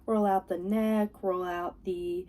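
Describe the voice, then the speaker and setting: A woman leading a guided meditation as a teacher, giving gentle, soothing, unhurried instructions. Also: mid-range voice, fairly even intonation. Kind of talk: guided body scan meditation.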